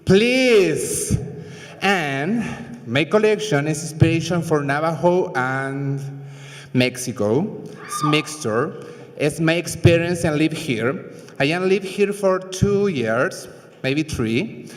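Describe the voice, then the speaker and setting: Chilean designer, halting English, slow, somewhat high voice, big reverberant hall. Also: male voice, expressive. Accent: Chilean Spanish. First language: Spanish. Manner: halting, searching for English words. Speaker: fashion designer. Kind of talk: speech at a public event. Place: event hall.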